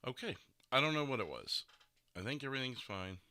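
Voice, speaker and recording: Animated, bright-voiced man hosting a stream. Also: mid-range voice, brisk, no room sound. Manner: animated, playful, sings and imitates sounds. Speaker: livestream podcast host. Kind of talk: casual joking chat.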